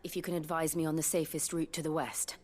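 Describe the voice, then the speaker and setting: A British woman playing a gentle, poised young noblewoman in a video game, speaking politely, softly and in measured phrases. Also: sits low, little pitch movement. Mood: polite, composed. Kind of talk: dramatic video game acting. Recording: cinematic music behind the voice.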